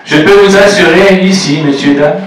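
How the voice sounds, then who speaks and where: A man with a Swiss German accent, French not his first language, giving a measured, prepared speech at a formal ceremony as a postal official. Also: sits high. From German-speaking Switzerland. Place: large echoey reception room. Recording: echoey room, speaker far from the mic.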